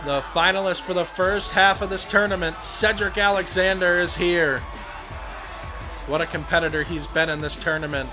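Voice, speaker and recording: A young man with a hoarse voice from a cold, commentating a wrestling video game play-by-play and rising to shouts on big moves. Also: high voice, fairly even intonation, moderate pace, music in the background. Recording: home recording with clear background noise.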